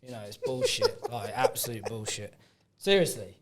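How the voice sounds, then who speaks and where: A man's voice: medium pitch, wildly swooping pitch, moderate pace. Man, casual podcast chat, teasing, jokey. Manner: joking, teasing, laughs often.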